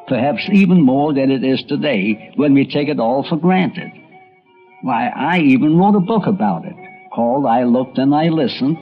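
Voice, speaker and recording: An older man, a radio critic, reminiscing as documentary narrator with animated storytelling. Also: medium pitch. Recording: dull archival radio documentary recording.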